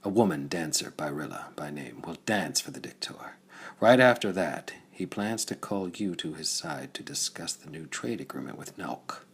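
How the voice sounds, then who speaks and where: A cold, secretive plotter in a cowl, acted by a male audiobook reader. The voice is deep and husky. He lays out orders in a clipped, conspiratorial way. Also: sing-song, normal speed, exaggerated voice.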